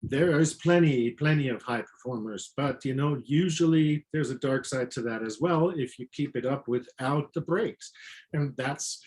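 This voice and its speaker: A middle-aged woman chatting casually as a guest, reflective and somewhat halting in how she puts her thoughts. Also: a bass voice, a little mellow. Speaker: physician and book co-author, guest. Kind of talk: casual personal chat.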